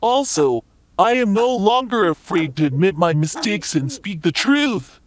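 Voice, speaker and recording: A man voicing a cocky, expressive young schoolboy in a children's cartoon story. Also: sits high, measured pace. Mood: remorseful. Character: Bob, cocky young schoolboy who loves video games.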